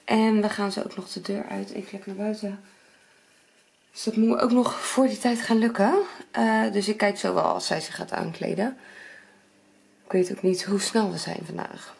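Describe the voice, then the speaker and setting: A woman's voice: medium pitch, fairly even intonation, normal speed, creaky, a little mellow, slightly rough. A woman in her thirties chatting to the camera in a casual family vlog, at home and in the car. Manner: chatty, talking to camera while busy. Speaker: vlogger. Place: home kitchen, then car and windy outdoors. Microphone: camera at arm's length.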